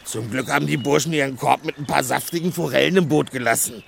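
Gravelly, blustery dwarf. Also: male voice, medium pitch, fast talker, slight background noise. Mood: chatty, blustery. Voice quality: gravelly, creaky. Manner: blustery, excitable, colourful speech. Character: stocky, gruff, hearty male dwarf, talkative and hungry. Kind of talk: children's audio drama acting.

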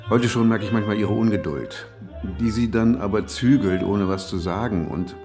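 A man in his fifties acting in a radio drama as an ageing, fussily articulate editor who thinks aloud hesitantly. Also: lowish voice. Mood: wistful, resigned. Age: 50s. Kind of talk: naturalistic German radio drama dialogue. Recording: music behind the voice.

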